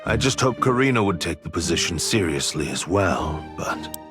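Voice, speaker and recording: A man's voice: deep voice, big pitch swings, normal speed, over music. A man playing an aging noble father in a game, grave and formal, stern but caring. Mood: troubled. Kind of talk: anime-style game voice acting.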